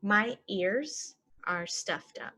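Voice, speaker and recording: A woman teaching English phrases, saying each one and then repeating it slowly and clearly for learners. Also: mid-range voice, animated, sharp tone. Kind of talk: slow phrase-by-phrase language lesson.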